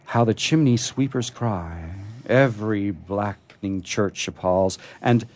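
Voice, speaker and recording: A man hosting a poetry program, speaking in a halting, expressive way as he talks casually and reads verse. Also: fairly low pitch. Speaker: radio show host reading poetry. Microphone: studio microphone he drifts away from.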